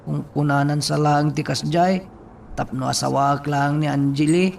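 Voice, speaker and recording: A man acting as an earnest, burdened young nephew in an Ilocano radio drama, with an Ilocano accent and a creaky voice. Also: on the high side, level tone, measured pace. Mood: troubled. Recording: distant mic, faint background noise.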